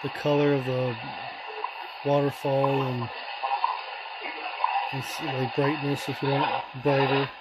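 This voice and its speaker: A man giving a casual, halting hands-on walkthrough as a radio hobbyist, in loose unscripted phrasing. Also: medium pitch, flat delivery. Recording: loud radio static and hiss. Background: shortwave receiver noise.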